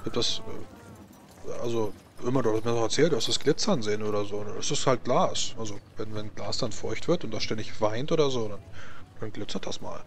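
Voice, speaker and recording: A man's voice: fairly low pitch, brisk, slight background noise. A man chatting casually in character at a tabletop game, repeating his phrases as he goes. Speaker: tabletop role-playing player.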